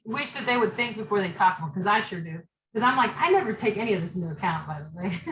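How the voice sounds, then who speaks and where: A middle-aged woman teaching a college class, talking loudly and directly in a chatty way. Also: a little high, reverberant, slight background noise, low-quality recording. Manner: direct, talkative, loud. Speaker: college instructor. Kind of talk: online class lecture.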